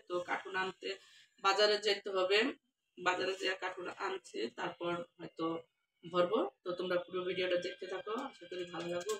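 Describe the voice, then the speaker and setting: A woman's voice: fairly low pitch, gravelly, bright, forceful tone, slight background noise, rough recording. A woman with a Bangladeshi accent chatting informally as a mother vlogging her family life at home. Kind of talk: casual home vlog chat to viewers and children.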